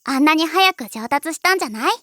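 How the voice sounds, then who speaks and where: Lively, high-pitched anime schoolgirl. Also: fast talker, no room sound, studio quality. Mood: earnest, pressing. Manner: casual, girlish speech with cutesy nicknames. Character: cute, candid teenage schoolgirl bandmate, caring and blunt. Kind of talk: anime voice acting.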